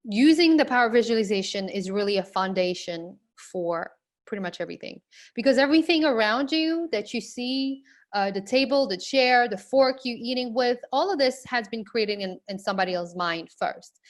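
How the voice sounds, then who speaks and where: Earnest woman coach, halting delivery. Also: mid-range voice. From Morocco. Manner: earnest, halting with frequent fillers and restarts. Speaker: online business coach. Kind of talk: live online class presentation.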